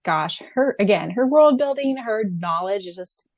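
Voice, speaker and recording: A woman's voice: mid-range voice, expressive, moderate pace, dry recording. A young adult woman co-hosting a podcast, talking casually in a fan discussion. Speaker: podcast co-host and audiobook narrator.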